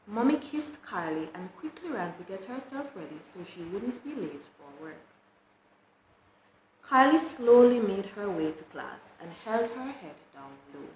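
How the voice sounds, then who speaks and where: A woman reading children's books aloud in an expressive storytelling style. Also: mid-range voice, unhurried. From Jamaica. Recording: roomy echo with clear background noise.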